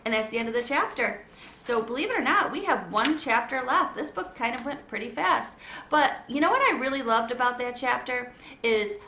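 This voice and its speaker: A woman reading a children's book aloud in an expressive storybook manner. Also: fairly high pitch, normal speed, a little hiss or hum. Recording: echoey room.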